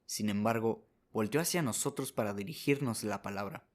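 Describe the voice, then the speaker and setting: A man's voice: fairly low pitch, normal speed, darkish tone. A man narrating a short story as a scripted radio drama, reading as the narrator.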